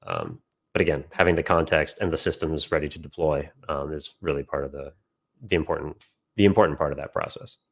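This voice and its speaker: A man giving informal instructional commentary as a trading educator, pausing mid-phrase to think as he explains and answers questions. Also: a bass voice, lively intonation, creaky. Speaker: trading educator and system developer.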